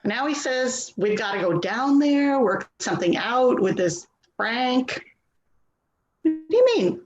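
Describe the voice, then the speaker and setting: Actress as anxious daughter, slow, swooping voice. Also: female voice, on the high side, animated, highs cut off. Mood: worried. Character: anxious, hurt grown daughter, worried and reproachful. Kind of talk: play reading, dramatic dialogue.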